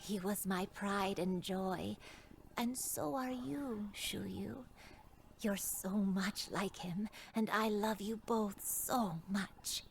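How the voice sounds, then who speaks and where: Slow, breathy old grandmother. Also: mid-range voice, slight background noise. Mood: tender, wistful. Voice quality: breathy, often sinking to a whisper. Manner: slow, halting, wistful. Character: frail, gentle old grandmother with failing memory. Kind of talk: dramatic video game voice acting. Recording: music under the voice.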